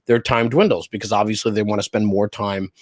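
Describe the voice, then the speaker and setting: A man's voice: on the low side, steady tone, fairly quick, dry recording. Emphatic American man, close dry sound. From New Jersey, United States. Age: middle-aged. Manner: emphatic, repeats points, checks with "right?". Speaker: interview guest, fire service spokesperson and former chief. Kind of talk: casual but opinionated interview talk.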